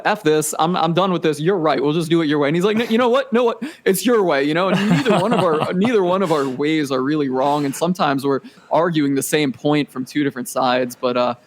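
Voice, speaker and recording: A man in his late twenties, a podcast guest chatting casually and telling stories with animated energy and easy laughter. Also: fairly high pitch. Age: 20s. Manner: animated storyteller, laughs easily. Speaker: podcast guest, business owner. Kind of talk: casual interview chat.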